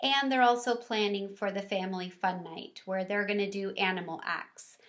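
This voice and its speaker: A woman reading a children's book aloud in a casual, expressive storytelling style. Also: medium pitch, normal speed.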